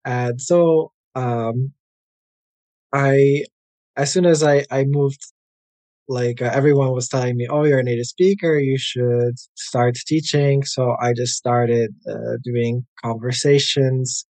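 An American man in his thirties, a language coach, answering interview questions in a relaxed, chatty way full of "like" and "uh". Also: mid-range voice, slow pace, no echo. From United States. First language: English. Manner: relaxed and chatty, many "like" and "uh" fillers. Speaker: guest, English language coach. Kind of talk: casual interview conversation.

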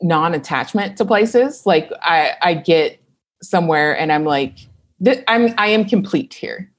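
A woman entrepreneur from Kentucky, a guest on a podcast, who speaks in a fairly low voice. She thinks aloud as she answers and often pauses on "um" and restarts her sentences. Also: animated. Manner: reflective, thinks aloud with fillers. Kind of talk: casual interview talk.

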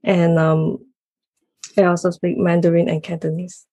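A woman from Malaysia with a Malaysian accent, talking casually and haltingly as an interview guest. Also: lowish voice, completely flat delivery, dark tone, husky, dry recording. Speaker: podcast guest, consultant.

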